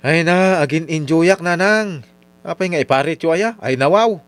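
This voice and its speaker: A man's voice: on the high side, normal speed, smooth, no echo, natural voice. A Filipino man with an Ilocano accent acting in a radio drama as a carefree, lazy young womanizer. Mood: defiant, carefree.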